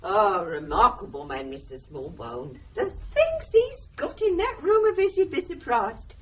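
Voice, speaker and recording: An actress playing a blunt, chatty older landlady in a radio play, with a husky voice. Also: a little high, big pitch swings, a little slow, fairly bright tone, full character voice. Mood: offhand. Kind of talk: radio drama acting. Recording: dull lo-fi radio recording.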